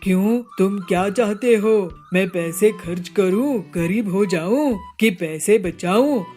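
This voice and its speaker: A stingy, scheming male bird husband from a cartoon, as a woman voices him. He talks slowly and in a bossy, wheedling, self-satisfied way. His voice sits at a middle pitch for a woman. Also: dark tone, faint music behind, lightly acted. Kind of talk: cartoon voice acting.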